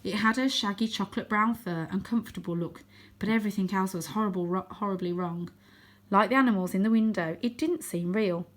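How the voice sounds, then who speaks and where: A woman narrating a children's book aloud as a storyteller, with expressive delivery. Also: mid-range voice, a little fast. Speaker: storybook reader.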